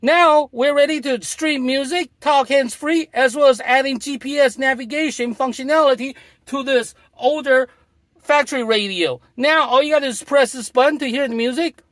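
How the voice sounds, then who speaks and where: A man's voice: very high-pitched, normal speed, fairly bright tone, no room sound. A middle-aged man demonstrating a gadget from inside a parked car, explaining in a repetitive, salesy way. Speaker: product demonstrator. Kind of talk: product demo.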